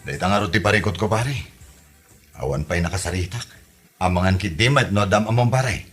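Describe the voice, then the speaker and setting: A man's voice: low voice, brisk, a bit bright, faint music behind. A man with an Ilocano accent playing an exasperated, worried village husband and father in a comedy radio drama.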